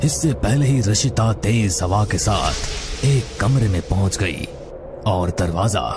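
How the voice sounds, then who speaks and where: A man narrating an animated horror story in a scripted storytelling style. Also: on the low side, slow, over music.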